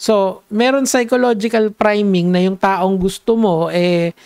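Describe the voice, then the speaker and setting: A man's voice: high-pitched, steady tone, moderate pace, a little mellow, clear, resonant tone, no room sound. A man with a Filipino accent doing casual reaction vlog commentary, switching between Tagalog and English in a rambling, lecturing way. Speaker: online commentator.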